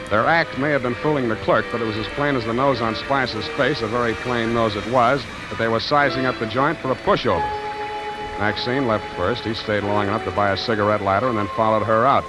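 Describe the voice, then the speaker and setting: An actor playing a wry, deadpan hard-boiled private detective in 1940s radio noir style. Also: male voice, lowish voice, natural voice, low-quality recording. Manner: deadpan, sardonic. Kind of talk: 1940s radio noir acting and first-person narration. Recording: vintage radio broadcast, music underneath.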